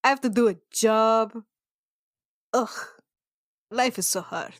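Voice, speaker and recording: A woman plays a tabletop roleplaying game, speaking casually in character. Her voice is a bit high, her delivery plaintive and mock-dramatic, and the recording has a dry, close sound. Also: takes it slowly, no room sound. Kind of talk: casual in-character improvised chat.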